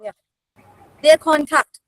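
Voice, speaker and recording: A woman's voice: takes it slowly, a bit bright, no room sound. A woman with an Indian accent teaching an online language class, prompting learners with short questions and tags.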